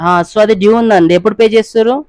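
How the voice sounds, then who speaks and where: Young male prank-call host. Quick, high-voiced, casual, code-mixes English, dry close sound. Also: fairly even intonation, a little mellow, no room sound. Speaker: prank caller and video host. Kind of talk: casual prank phone chat.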